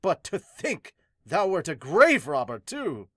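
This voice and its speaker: A woman's voice: low voice, huge pitch swings, slow pace, fairly bright tone, dry recording, heavily acted. Woman as a pompous, stern old-world magistrate. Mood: stern, scornful. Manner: pompous, stern, archaic phrasing. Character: haughty male magistrate overseeing execution, archaic speech.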